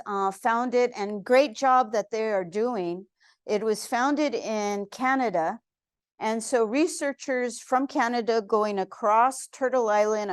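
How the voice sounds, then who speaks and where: A woman in her fifties gives a museum lecture in a slow, unhurried way, with earnest and heartfelt words. Her voice is smooth and clear, a touch higher than most, and it sits dry and close with no room echo. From San Antonio, Texas. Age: 50s. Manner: earnest, heartfelt, with frequent pauses and fillers.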